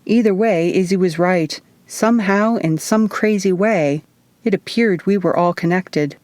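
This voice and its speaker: A woman reads a first-person novel aloud in audiobook style. Her voice sits slightly low for a woman, and the sound is dry with very little room. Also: unhurried, darkish tone, dry recording. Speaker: author reading her audiobook.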